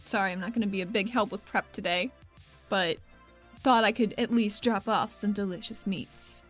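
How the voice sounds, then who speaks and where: A woman's voice: mid-range voice, moderate pace, fairly bright tone, soft background music. A woman playing a tabletop roleplaying game, casually improvising in a playful, breezy manner.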